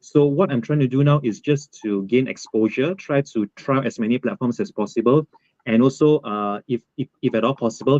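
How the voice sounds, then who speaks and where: A man explains himself politely on a live stream, pausing with frequent fillers. His voice sits at a middle pitch and sounds dry and a little dull, with no room around it. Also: mellow, no room sound. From Malaysia. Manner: formal and polite, with frequent "uh" pauses. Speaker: YouTube streamer and guest. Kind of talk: casual chat on a live stream.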